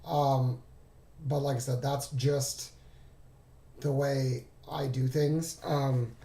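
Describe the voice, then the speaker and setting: A man with a raspy, hoarse voice recovering from laryngitis, giving an informal, halting screen-share tutorial as a trading instructor. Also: mid-range voice, level tone, dark tone. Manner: halting, thinking aloud. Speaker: trading instructor reviewing charts. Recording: roomy, echoing voice recording.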